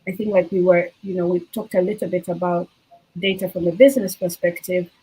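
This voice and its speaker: A woman with a husky, fairly low voice moderates a panel. She asks questions in a loose, casual way. Her questions are full of hedges and fillers. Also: fairly even intonation, a little fast, mellow, poor audio.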